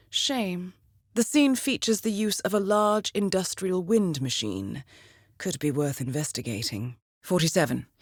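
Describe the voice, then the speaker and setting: An actress voicing a cool-headed female spy handler in a video game, briefing an agent with measured, polished precision and dry wit. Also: fairly low pitch, no room sound. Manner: measured, composed, precise, understated. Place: studio.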